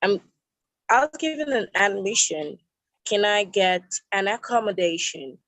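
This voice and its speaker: A woman's voice: mid-range voice, expressive, measured pace, a bit bright, no echo, poor audio. Polite Nigerian woman asking questions. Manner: polite and formal, repeats and rephrases her questions. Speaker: prospective student asking questions. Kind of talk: questions in an online meeting. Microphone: video call.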